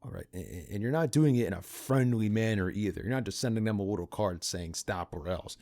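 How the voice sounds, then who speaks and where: A man playing a cynical, pragmatic partner in crime to a vigilante in an ASMR roleplay, talking in a casual, halting, conspiratorial way. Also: fairly low pitch, darkish tone, lightly acted. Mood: wry, cautioning. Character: cynical, pragmatic male criminal accomplice to a vigilante.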